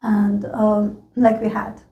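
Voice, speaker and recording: A woman's voice: mid-range voice, monotone, takes it slowly, dull audio. A woman from Iran, a native Farsi speaker, answering interview questions in a hesitant way, with many ums and likes. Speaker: interview guest. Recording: echoey room.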